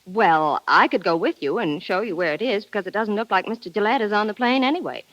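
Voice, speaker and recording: An actress playing a polite, forthcoming American relief office employee, slightly hesitant, in an old radio drama. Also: female voice, mid-range voice, fast talker, fairly bright tone, no room sound, close to an everyday voice. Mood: helpful. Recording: muffled old radio recording.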